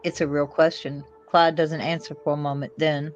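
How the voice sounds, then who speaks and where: A woman's voice: deep voice, level tone, normal speed, highs cut off. Woman narrating a script over faint music. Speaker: co-narrator, reader. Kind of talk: scripted narration of screenplay stage directions.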